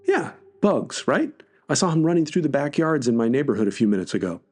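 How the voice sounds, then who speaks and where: Casting: man as bright, insistent teenage boy for horror audio story, lifted pitch, music bed. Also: very animated, brisk. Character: teenage boy friend, bright, eager, then meek. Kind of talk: scripted horror story dialogue.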